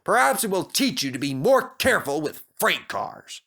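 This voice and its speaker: A middle-aged railway controller from a children's storybook, voiced by a man. He speaks pompously and deliberately in a bright, forceful voice, stern and authoritative. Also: fairly high pitch, very animated, gravelly, breathy edge, pitched above the performer's own voice, heavily acted. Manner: severe, deliberate.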